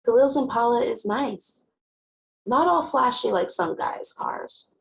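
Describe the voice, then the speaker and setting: A woman playing a wry, guarded, sharp-tongued teenage girl who narrates her own story conversationally in a dramatic novel reading. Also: fairly high pitch, expressive, takes it slowly, vocal fry, exaggerated voice, low-quality recording. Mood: casual. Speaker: actor.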